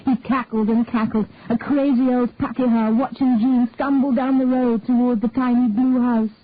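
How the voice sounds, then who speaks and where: Female story reader with a high voice, measured narration, on a tinny, phone-like recording. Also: flat delivery, a little hiss or hum. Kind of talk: scripted narration of a detective story. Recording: dry, tinny phone-like audio.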